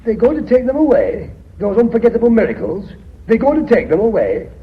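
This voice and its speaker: Husky, high-voiced old mill man. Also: very animated, a little fast, heavily acted. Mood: indignant, wounded. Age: older. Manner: plain-spoken, indignant. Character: aging, blunt, aggrieved Yorkshire mill manager made director. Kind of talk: radio drama acting. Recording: dull, muffled radio recording.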